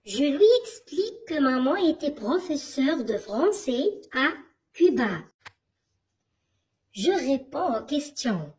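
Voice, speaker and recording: A woman teaching a reading lesson to primary school children, asking questions and answering them herself in a slow, didactic way. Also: sits high, very animated, darkish tone.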